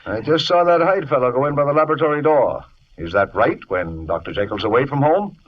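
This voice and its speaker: An actor playing a kindly, inquisitive, proper Victorian gentleman with an avuncular, exclaiming manner in an old radio drama. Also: medium pitch, animated, fairly quick, close to an everyday voice. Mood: courteous, inquisitive. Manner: hesitant exclamations, avuncular, indignant. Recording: muffled, noisy old radio recording.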